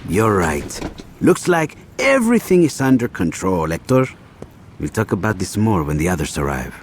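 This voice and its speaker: An actor playing a stern, menacing senior cartel man in a video game, speaking in a cold, measured, disapproving way. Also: sits low, very animated, slight background noise. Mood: cold disapproval.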